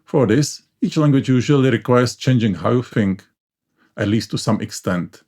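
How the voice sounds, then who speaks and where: A man's voice: medium pitch, normal speed, noisy background. Man narrating. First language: Czech. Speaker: educational channel host. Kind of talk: scripted explainer narration.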